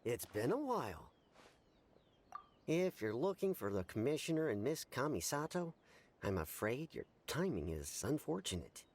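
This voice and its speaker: A man's voice: medium pitch, very animated, normal speed. An actor playing an earnest, polite and eager young male retainer in a video game. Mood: polite, welcoming.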